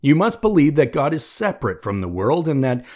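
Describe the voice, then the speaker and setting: A man reading a nonfiction audiobook aloud in a measured, deliberate way. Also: medium pitch, sing-song, dark tone, highs cut off. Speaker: audiobook reader.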